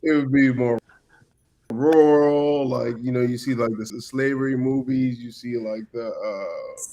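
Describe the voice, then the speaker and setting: Guest spot: easygoing man in his thirties, cameroon-born, mid-pitched voice, rambling storyteller. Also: steady tone, a little slow, dark tone. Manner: easygoing, many "you know" fillers and restarts. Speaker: podcast guest, former player. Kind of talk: casual storytelling chat.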